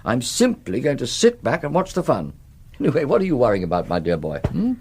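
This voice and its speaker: An actor playing a genteel middle-aged British academic in a radio drama, drily witty, courteous and a little hesitant. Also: male voice, mid-range voice, huge pitch swings, brisk, darkish tone, husky, heavily acted. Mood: affable, flustered. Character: genteel, wry middle-aged male academic declining a contest.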